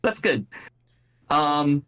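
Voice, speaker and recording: A man's voice: a little high, lively intonation, very slow, fairly bright tone. A man speaking casually and playfully as game master, improvising narration for a comedy tabletop game.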